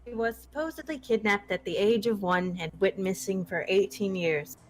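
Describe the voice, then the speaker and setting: Woman narrating horror, mid-pitched. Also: normal speed, rough recording. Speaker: reader in a script table read. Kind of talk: scripted horror narration and dialogue.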